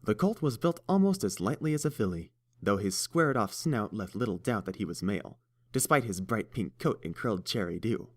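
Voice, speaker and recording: A man narrating a fan-fiction storybook in a steady, measured way. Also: fairly low pitch. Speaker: audiobook reader.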